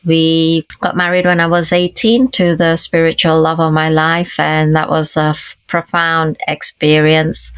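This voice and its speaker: A woman's voice: low voice, clear, resonant tone, no room sound. A woman in her fifties, from Kenya and raised in the UK, telling her life story as a podcast guest in an earnest, reflective way. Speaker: guest, leadership coach. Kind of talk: conversational interview storytelling.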